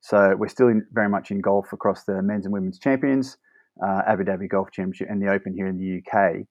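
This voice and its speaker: A man who manages brand partnerships, answering interview questions quickly and fluently, often restarting his phrases. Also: sits low, steady tone, a little mellow, no echo, highs cut off.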